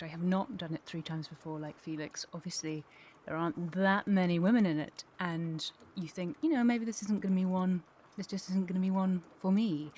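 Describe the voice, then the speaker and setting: A woman's voice: fairly low pitch, darkish tone, slight background noise. A woman chatting casually and enthusiastically as an actress being interviewed. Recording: faint background ambience.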